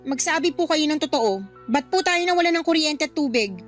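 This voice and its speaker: A woman's voice: high-pitched, expressive, brisk, faint music behind. A woman acting a fed-up, outspoken Filipino daughter in a radio drama, bitter, sarcastic and confrontational. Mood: suspicious, frustrated.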